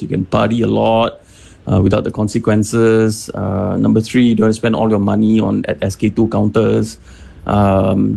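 A man's voice: lowish voice, gravelly, warm tone, husky. A man hosting a morning radio show, joking casually and listing points offhand. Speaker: radio host.

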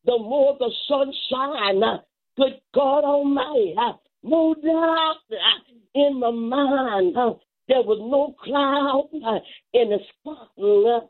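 Slow, high-voiced woman preaching fervently. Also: phone-quality sound. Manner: rhythmic short phrases with repeated exclamations of praise and punctuating grunts.

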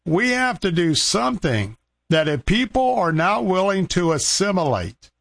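An American man hosting an opinionated call-in radio show, talking in a halting way with repeated and restarted phrases. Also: high-pitched, sing-song, vocal fry, a bit bright, no room sound, clean studio sound. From Tampa, Florida, United States. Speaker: talk radio host.

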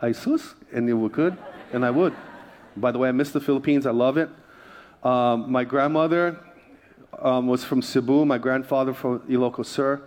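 A man's voice: mid-range voice, normal speed, darkish tone. A man from Hawaii preaching a sermon as a pastor, emphatic, repeating phrases and prompting his listeners. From Hawaii, United States.

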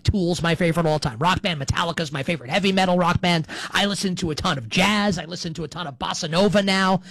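A man's voice: high voice, a bit bright, no room sound. A middle-aged man hosting a sports talk show in a casual monologue, digressing, repeating and qualifying his points for emphasis.

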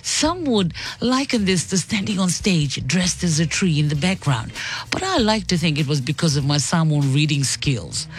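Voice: medium pitch, sing-song, normal speed. A radio host on a Sunday morning show, reading a news story aloud and breaking off for chatty, upbeat asides. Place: radio studio.

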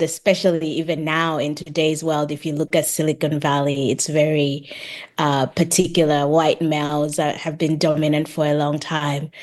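Low-pitched woman from Zambia, conversational. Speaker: podcast guest, tech project manager and author. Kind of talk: conversational interview answers.

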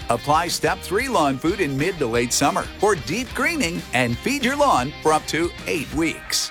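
Enthusiastic male ad voice over music. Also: a little high. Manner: enthusiastic, salesy. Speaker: ad announcer. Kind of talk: radio commercial read.

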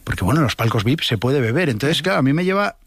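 A man's voice: medium pitch, lively intonation, fast, a bit bright, breathy edge, no room sound. A man with an accent from Spain, speaking as a contributor in a casual radio chat on a studio microphone. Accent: Spanish from Spain. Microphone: close studio radio microphone.

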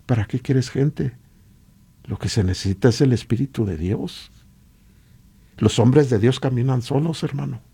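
A man with a Latin American Spanish accent and a husky voice, preaching informally and emphatically with repeated rhetorical questions. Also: mid-range voice, measured pace, pauses often, mellow. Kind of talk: informal exhortation sermon.

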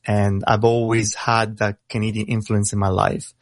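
A young man who grew up in Italy, with Italian as his first language, talking casually over a video call in a halting way with many fillers. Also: lowish voice, little pitch movement, no room sound, studio quality. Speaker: rapper guest.

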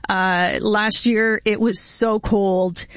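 A woman's voice: mid-range voice, steady tone, takes it slowly, a bit bright, dry recording, highs cut off. A middle-aged woman from Canada hosting a casual radio chat, talkative and laughing often between stories. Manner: chatty, laughs often, many fillers. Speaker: radio host.

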